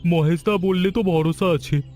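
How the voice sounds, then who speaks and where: A man's voice: sits high, steady tone, unhurried, warm tone, faint music behind. A man voicing a hesitant, trusting villager in a Bengali cartoon story. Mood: doubtful, trusting.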